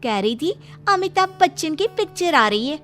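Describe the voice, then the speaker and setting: A woman's voice: high voice, very animated, moderate pace, bright, forceful tone, pitched above the performer's own voice, exaggerated voice. A woman voicing an exasperated, dutiful young daughter-in-law with a plaintive, complaining manner. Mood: grumbling. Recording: music under the voice.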